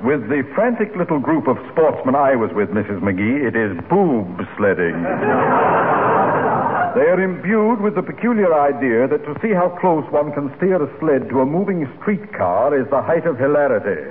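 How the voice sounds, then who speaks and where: A man's voice: medium pitch, big pitch swings, moderate pace, big-room sound, close to an everyday voice. A man playing a pompous, wordy, dignified mayor who flusters easily, in an old-time radio comedy. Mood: stiffly polite. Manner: formal, pompous, wordy, precisely spoken. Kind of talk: 1940s radio comedy acting. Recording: scratchy, narrow-band old radio recording.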